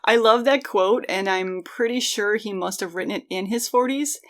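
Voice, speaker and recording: A woman's voice: medium pitch, normal speed. A woman in her forties co-hosting a podcast in an informal, chatty way, often checking in with her listener as she explains. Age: 40s. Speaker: podcast co-host. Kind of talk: casual conversational chat.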